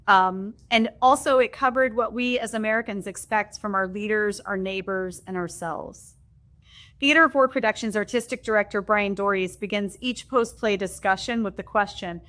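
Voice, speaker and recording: A woman, a library development director, hosting the discussion and reading prepared remarks in a measured, formal way. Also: mid-range voice, a bit bright.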